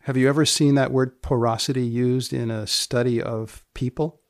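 A man hosting a podcast, reading scripted lines and asking questions with mock-solemn, deadpan humour and theatrical pauses. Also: medium pitch, dry recording, studio quality. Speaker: podcast host. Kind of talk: scripted narration and interviewing.

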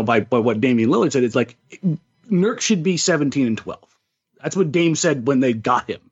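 A man's voice: on the high side, breathy edge, highs cut off. A man co-hosting a sports podcast, giving casual, opinionated basketball analysis and repeating words emphatically as he reasons.

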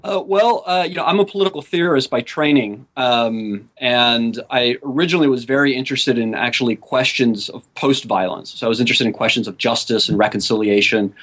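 Thoughtful male academic guest, measured speech, slightly dull lo-fi sound. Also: medium pitch, a bit bright. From South America. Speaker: guest author. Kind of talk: academic interview.

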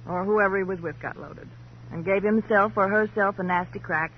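An actress playing a flippant, jaded rich wife in an old radio crime drama, sardonic, brisk and dismissive. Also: female voice, medium pitch, fairly even intonation, close to an everyday voice. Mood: impatient, dismissive. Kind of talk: 1940s-50s radio crime drama acting. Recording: dull old radio recording, faint noise.

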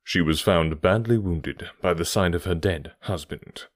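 A stern English detective of classic storybooks, voiced by a male narrator, very deep and self-assured. He speaks with quiet authority in a dry, close recording. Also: measured pace, no echo.

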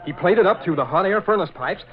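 An actor playing a brisk, easygoing sidekick, informal and matter-of-fact, in a 1940s radio drama. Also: male voice, high voice, animated. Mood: brisk, explaining. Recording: dull vintage radio recording.

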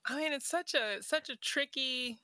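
Bright-toned female host, thoughtful and conversational, dry close-miked delivery. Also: high-pitched, moderate pace, no echo. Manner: thoughtful, many fillers like "like". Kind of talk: reflective casual conversation.

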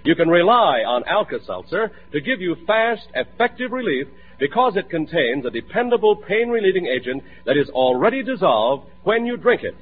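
Animated, husky American radio pitchman. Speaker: commercial announcer. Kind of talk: 1940s radio ad read. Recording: tinny, thin vintage radio recording.

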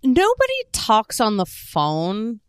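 A woman's voice: a little high, wildly swooping pitch, slow, clear, resonant tone, dry recording, clean studio sound. A woman in her twenties co-hosting a podcast in casual chat, self-mocking and swearing freely. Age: 20s. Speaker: podcast co-host.

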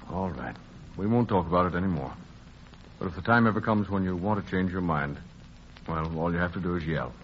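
An actor playing an earnest, devoted gentleman suitor, pleading his case in an old-time radio drama. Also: sits low, brisk, close to an everyday voice. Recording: muffled, hissy old radio recording.

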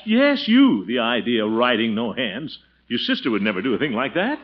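Blustery uncle, wildly swooping voice. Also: male voice, medium pitch, huge pitch swings, normal speed. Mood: fussing, amused. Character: blustery, fussy, good-hearted middle-aged uncle and guardian. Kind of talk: 1940s radio comedy acting. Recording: noisy, muffled old radio recording.

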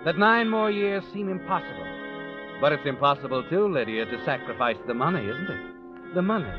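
A man's voice: a little high, natural voice. An actor playing an ominous, knowing narrator of an old-time radio drama, insinuating as he addresses a character directly. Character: ominous storyteller addressing the schemer as you. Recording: muffled vintage radio recording.

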